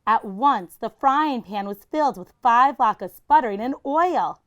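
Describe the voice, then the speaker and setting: A woman's voice: fairly high pitch, lively intonation, measured pace, muffled recording. A woman reading a children's picture book aloud like a teacher, expressively and with dramatic emphasis.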